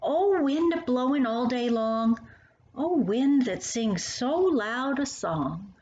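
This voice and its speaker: A woman reads children's verse and storybook prose with a very lively, swooping delivery. She addresses young listeners directly and expressively. The recording is roomy and a little muffled, with faint noise. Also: on the high side, slow. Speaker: author reading aloud to young children.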